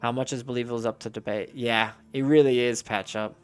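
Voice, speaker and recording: A man's voice: mid-range voice, fairly even intonation, fast, clear, resonant tone, faint music behind. A man streaming a game and chatting casually with his viewers, answering their messages aloud in a rambling way with frequent restarts.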